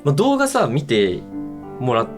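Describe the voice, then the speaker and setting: A man's voice: mid-range voice, huge pitch swings, a little slow. A man casually narrating his visit to a haunted spot as the host, talking informally as if telling a friend. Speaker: host of ghost-spot exploration videos. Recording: music laid under the voice. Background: background music.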